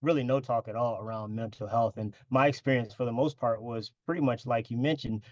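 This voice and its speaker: A middle-aged man from the United States, a podcast guest who speaks in an earnest, measured way with fillers and repeated words. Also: medium pitch, fairly even intonation, darkish tone, no echo, lo-fi sound. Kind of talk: casual interview.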